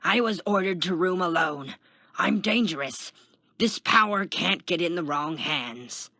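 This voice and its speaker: A man reading a story, voicing an arrogant, defensive imprisoned supervillain in a gravelly, strained, pompous voice. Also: sits high, unhurried, dry recording, clean studio sound, pitched above the performer's own voice, exaggerated voice. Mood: alarmed, insistent. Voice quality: gravelly, strained fake-tough voice. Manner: pompous, shouting, emphatic.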